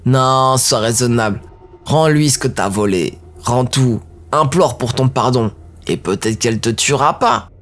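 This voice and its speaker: A man doing a sneering high-pitched prisoner. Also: animated, a little slow, a bit bright. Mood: mocking. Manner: taunting, sneering, then begging in panic. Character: cocky male dark eldar prisoner, mocking.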